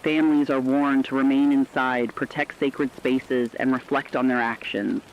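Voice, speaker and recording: A man playing a solemn radio co-anchor, giving measured, foreboding warnings in a scripted horror broadcast. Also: medium pitch, fairly even intonation, natural voice. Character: ominous radio co-anchor warning of an ancient legend. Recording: muffled lo-fi audio, faint noise.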